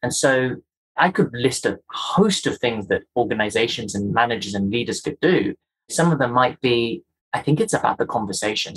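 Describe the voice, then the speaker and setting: A man from Kenya talks through his ideas as an interview guest. His voice is a little husky and sits at a middle pitch. He speaks earnestly and with emphasis, often building his points as a run of rhetorical questions. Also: fairly bright tone, low-quality recording. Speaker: guest, culture and inclusion executive. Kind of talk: conversational interview, explanatory.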